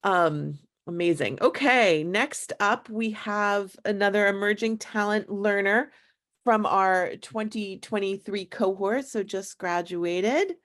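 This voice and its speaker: A woman's voice: mid-range voice, takes it slowly, a bit bright. A woman hosting an online showcase on a video call, introducing speakers in a casual way with fillers and laughing off her slips. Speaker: event host.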